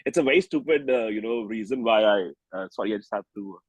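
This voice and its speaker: A man's voice: lowish voice, fast, breathy edge, dry recording, poor audio. A man in his early thirties with an Indian accent, chatting informally as a singer-songwriter in an interview. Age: early 30s. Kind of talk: casual interview chat.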